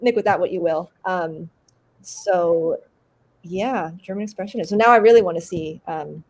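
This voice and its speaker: A woman actor chatting casually as an interview guest, animated and very informal, often saying like. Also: fairly low pitch, normal speed. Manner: animated, informal, peppered with 'like'. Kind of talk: casual chat.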